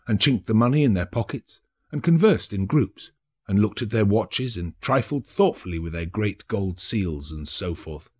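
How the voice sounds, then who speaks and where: A man narrating a classic ghost story as a dramatic audiobook, theatrical and deliberate. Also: lowish voice, breathy edge. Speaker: narrator, actor.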